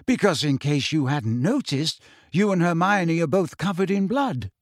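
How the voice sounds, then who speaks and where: A man voicing a stubborn, confident teenage girl in an audiobook, speaking in a forceful and defiant way. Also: on the high side, expressive, slow, no room sound, studio quality. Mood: cool, confident. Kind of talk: audiobook character voice.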